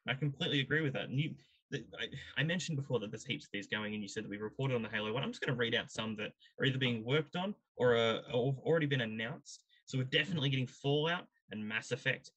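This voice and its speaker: Male podcast host, mid-range pitch, opinionated panel talk with pointed rhetorical questions. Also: quick pace, a bit bright, breathy edge, rough recording.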